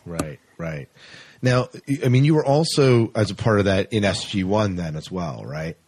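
A man hosting a podcast interview, chatty and quick to laugh. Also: deep voice, animated, darkish tone, slightly rough, dry recording. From United States. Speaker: podcast host. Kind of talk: casual interview.

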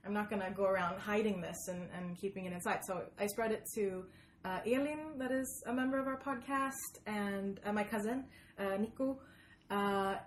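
A young woman chats casually in an animated, halting way, often cutting into her own sentences. Her voice sits at an ordinary pitch for a woman. The room is echoey, with some light noise behind her. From Sweden. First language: Swedish. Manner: animated, halting, self-interrupting. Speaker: podcast host being interviewed. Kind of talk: casual conversational storytelling. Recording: roomy echo, background noise.

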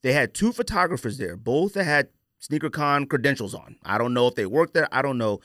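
A man's voice: medium pitch, expressive, fairly quick, a bit bright, dry recording, clean studio sound. A man hosting a podcast, telling stories in a casual, colloquial, opinionated way and acting out quoted exchanges. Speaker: podcast host.

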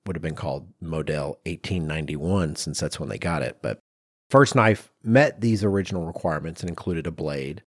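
A man's voice: very deep voice, moderate pace, slightly rough, dry recording, clean studio sound. A middle-aged man co-hosting a podcast, telling researched stories informally with personal asides. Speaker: podcast co-host. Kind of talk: conversational storytelling from research.

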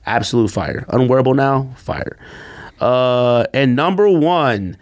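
An American man with a very lively, swooping delivery and an average-pitched voice. He talks like a podcast co-host in casual banter, slangy and emphatic, ready to tease and argue his point. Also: slow. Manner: slangy, emphatic, teasing, argumentative. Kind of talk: casual sneaker and sports banter.

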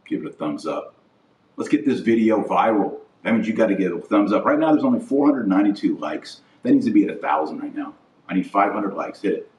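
A man with a fairly low voice delivers a podcast monologue of religious commentary. He is indignant and emphatic, building his points through repetition and rhetorical questions. The room echoes noticeably and he sounds a little away from the microphone. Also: a little mellow. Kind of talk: impassioned opinion monologue to camera. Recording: echoey room, slightly distant mic.

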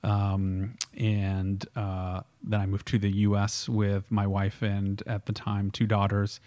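A middle-aged man from Switzerland whose first language is Swiss German, speaking as an interview guest in halting, filler-heavy casual talk. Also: fairly low pitch, monotone, warm tone, dry recording. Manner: halting, many fillers and restarts. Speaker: guest, wine school founder.

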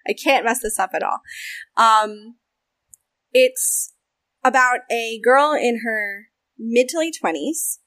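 A young American woman in a casual interview, talking animatedly about voice acting and game development, with frequent laughs and quick asides. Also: a little high, a bit bright, dry recording, low-quality recording.

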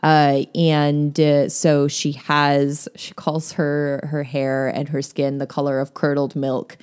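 A woman's voice: low voice, completely flat delivery, slow pace, a little mellow, clear, resonant tone. A woman discussing a novel in a casual podcast chat, talking with many fillers and easy laughs. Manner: chatty, lots of fillers and laughs.

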